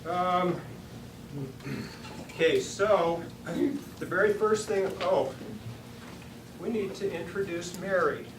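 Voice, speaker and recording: A woman with a deep voice, chatting informally in a folksy way as she moderates a public forum. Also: takes it slowly, long pauses. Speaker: forum moderator and party chair. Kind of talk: informal public opening remarks. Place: meeting room at a party headquarters. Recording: echoey room, distant mic, clear noise.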